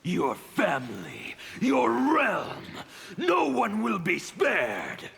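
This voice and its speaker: Gravelly, deranged chaos zealot, Havik. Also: male voice, sits high, huge pitch swings, drawn-out words, a bit bright, exaggerated voice. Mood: manic, shouting. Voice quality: gravelly, strained rasp. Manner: menacing, theatrical, grandiose. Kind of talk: fighting game character banter.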